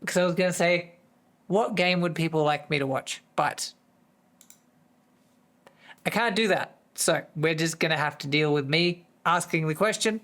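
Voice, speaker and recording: A streamer with a voice that is going hoarse, chatting casually to viewers in a distracted, rambling way. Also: mid-range voice, moderate pace, pauses often, fairly bright tone. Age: adult, working full-time. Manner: easily distracted, rambling with many restarts. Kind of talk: casual live-stream chat.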